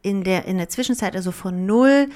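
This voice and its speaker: A woman in her thirties talks openly about her life as a guest in an interview. She was born in Germany, grew up partly in Greece, and her first language is Greek. She speaks in a reflective, unhurried way, with plenty of small fillers and restarts. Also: mid-range voice, a little mellow. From Germany, raised partly in Greece. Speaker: author and performer, guest. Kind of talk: relaxed conversational interview.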